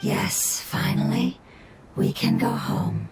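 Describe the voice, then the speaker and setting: An actor voicing a gentle, grateful ancient nature spirit with a gravelly, whispery voice in a video game. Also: medium pitch, wildly swooping pitch, slow, heavily acted. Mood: awed. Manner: solemn, earnest. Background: game music.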